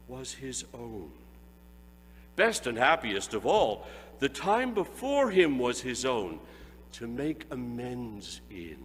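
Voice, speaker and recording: Expressive male storyteller in a reverberant hall. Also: a little high. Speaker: narrator. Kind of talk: dramatic reading of a classic story. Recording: hall reverb, faint mains hum.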